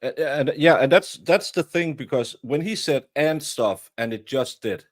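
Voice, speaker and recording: Halting man giving opinions. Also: fairly high pitch, expressive. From Denmark. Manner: halting, repeats words, frequent fillers. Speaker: guest commentator. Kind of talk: casual opinion chat.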